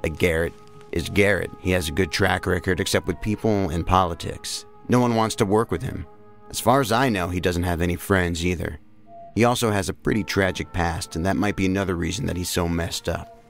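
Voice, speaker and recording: A man playing a calm, frank federal agent in an audio drama. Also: sits low, fairly quick, vocal fry, soft background music. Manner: frank, reassuring.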